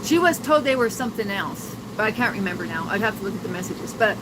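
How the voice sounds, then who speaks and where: A woman's voice: mid-range voice, animated, quick pace, background noise. An older woman telling family stories to her livestream viewers, rambling and laughing often with many fillers. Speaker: livestream host. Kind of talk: casual livestream chat with viewers.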